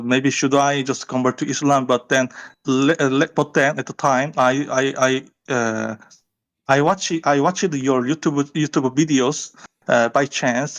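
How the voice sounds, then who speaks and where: A Korean man calling in to a live stream, speaking English with a Korean accent, halting and stammering. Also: mid-range voice. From South Korea. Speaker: caller.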